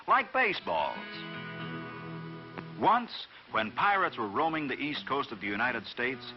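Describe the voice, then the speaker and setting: A man narrating a scripted children's folk tale in a dramatic, expressive storytelling manner. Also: fairly high pitch. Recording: dull vintage recording, faint music behind.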